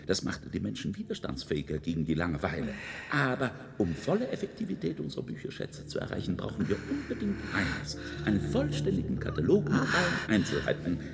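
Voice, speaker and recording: An actor playing a pompous, excitable male superior in a German radio play, lecturing with theatrical emphasis. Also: sits low, creaky, exaggerated voice.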